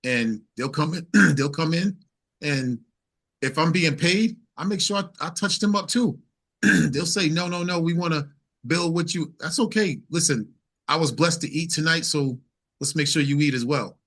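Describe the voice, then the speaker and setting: A man's voice: on the high side, a bit bright. A man from New York speaking casually as an interview guest, thinking aloud with repeated words. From New York, United States. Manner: halting, thinking aloud with repetitions. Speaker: singer-songwriter interview guest.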